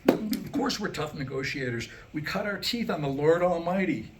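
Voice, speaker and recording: A man's voice: on the high side, animated, normal speed. A man in his sixties performing a staged reading of jokes and comic monologue, wryly acting out the dialogue. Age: 60s. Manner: wry joke-telling, acting out quoted dialogue. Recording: live room recording with background noise.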